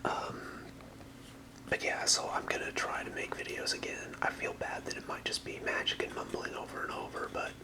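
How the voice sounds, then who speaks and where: Soft unvoiced whisper, casual ASMR rambling, hesitant, apologetic, card-deck showcase. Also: normal speed, rough recording. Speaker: ASMR video creator. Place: apartment room.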